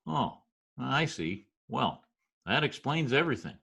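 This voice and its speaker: A man acts a wry New street storyteller with a rough-edged voice. His pitch moves around in animated swings while his wit stays dry, in the manner of old-time radio. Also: measured pace, heavily acted. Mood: sardonic. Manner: wry, deadpan. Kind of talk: old-time radio drama narration and dialogue. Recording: slightly rough remote video-call audio.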